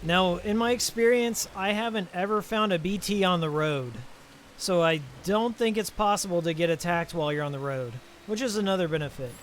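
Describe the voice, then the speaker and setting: A man chatting casually while live-streaming a game, a little hoarse after hours of talking, rambling and reading out viewer names. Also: high voice, a little fast, a little hiss or hum. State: slightly hoarse from a long stream.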